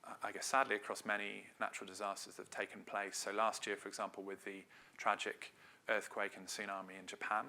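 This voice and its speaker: A man's voice: lowish voice, level tone, fairly quick, creaky, sharp tone. A middle-aged man from Wales giving a conversational conference presentation as a marketing director, with loose phrasing and frequent hedges.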